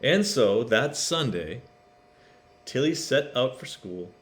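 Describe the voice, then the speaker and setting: Animated man reading a children's story. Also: mid-range voice, slow pace, a little hiss or hum. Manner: expressive, asks listeners questions. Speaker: storybook reader. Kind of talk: read-aloud to children with chatty asides.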